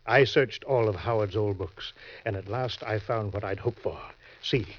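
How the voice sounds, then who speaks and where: A man's voice: fairly low pitch, normal speed, a little hiss or hum, close to an everyday voice. An actor playing a suave, calculating older con man, formal and avuncular, in an old-time radio crime drama. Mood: unctuous, assured. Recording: muffled vintage radio recording.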